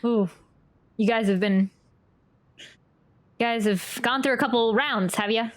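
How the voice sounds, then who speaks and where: A woman's voice: fairly high pitch, unhurried. A woman streaming a game with casual, playful reaction commentary, chatting to the characters as she plays. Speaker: gaming streamer.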